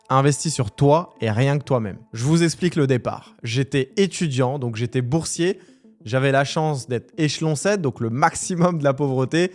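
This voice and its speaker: Emphatic young man over music. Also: on the high side. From Algeria. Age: 20s. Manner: direct, emphatic, addresses viewer informally. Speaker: entrepreneur vlogger. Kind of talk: motivational talk to camera.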